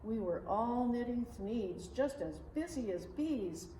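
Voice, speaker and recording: A woman reading a rhyming children's story aloud in an expressive, measured, dramatic way. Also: on the high side. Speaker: storybook reader. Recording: roomy, distant mic, faint noise.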